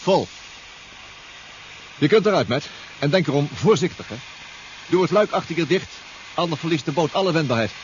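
An actor playing a protective, anxious submarine crewmate who gives careful instructions in a 1970s science-fiction radio drama. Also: male voice, on the high side, expressive, moderate pace, long pauses, lightly acted. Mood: businesslike, careful. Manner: cautioning, instructive. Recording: dull, noisy 1970s radio recording.

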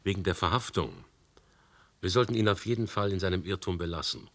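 An actor playing a calm, probing police commissioner in a radio play. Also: male voice, deep voice, fairly quick, gravelly. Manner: probing, dry questioning.

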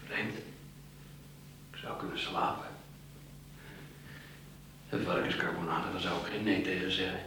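An actor playing a needy, resentful accomplice in a radio thriller, speaking haltingly and repeating words. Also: male voice, sits low, fairly even intonation. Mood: weary, muttering. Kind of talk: 1980s radio thriller acting. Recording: very echoey, distant, faint hum.

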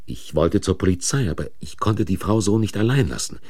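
A man acting and narrating in a German radio crime play as an uneasy, probing but soothing investigator, in short, deliberate phrases. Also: deep voice, lively intonation, a little mellow, breathy edge, exaggerated voice. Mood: uneasy, recounting. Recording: noisy vintage radio drama recording.

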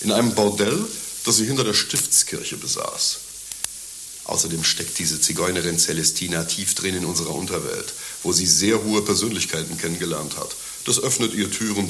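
A man's voice: sits low, moderate pace, pushed and bright, slight background noise. A man playing a worldly, sly confidant in a German radio play, declaiming with teasing emphasis. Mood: boastful, knowing. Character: worldly, sly male schemer, cheeky confidant to a friend.

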